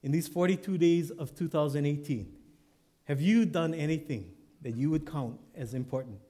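A man's voice: on the high side, normal speed, warm tone. A male pastor from Hawaii with a Hawaiian Pidgin accent, preaching in a joking, conversational way with tag questions. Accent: Hawaiian Pidgin English. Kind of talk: sermon.

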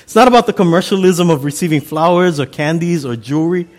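A middle-aged man from Hawaii with a Hawaiian accent, Pidgin his first language, preaching a sermon in a relaxed, chatty, folksy way. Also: on the high side, fast talker. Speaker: pastor.

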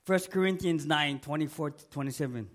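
A man's voice: fairly high pitch, brisk, a bit bright. A middle-aged male pastor preaching a sermon in a church. He is losing his voice from a cold and talks emphatically, repeating key words and asking the congregation for an amen. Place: church sanctuary.

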